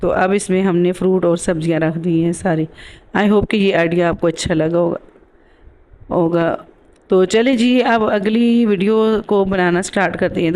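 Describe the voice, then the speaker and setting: A woman's voice: lowish voice, darkish tone. A woman with a sore throat giving a casual voice-over for a DIY craft tutorial as the host.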